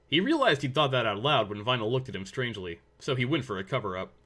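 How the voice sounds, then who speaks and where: A man's voice: mid-range voice, very animated, fast talker, sharp tone. A man reading scripted story narration as the narrator.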